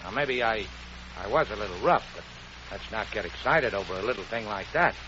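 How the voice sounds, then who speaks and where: An actor playing a brash, gluttonous rich male boss with rough, slangy talk in 1940s radio acting. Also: lowish voice, expressive, a little fast, close to an everyday voice. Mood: pleading. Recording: dull old radio broadcast with hiss.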